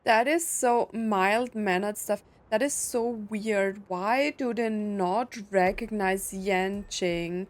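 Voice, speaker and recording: A woman's voice: medium pitch, slow, hardly any pauses. A woman streamer giving casual, chatty playthrough commentary, thinking aloud as she plays. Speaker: gaming streamer.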